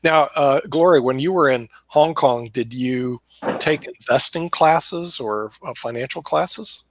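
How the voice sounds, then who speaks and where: A man's voice: mid-range voice, unhurried, slight background noise. A man hosting a casual video call, talking in a rambling way with many fillers, restarts and laughs. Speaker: host.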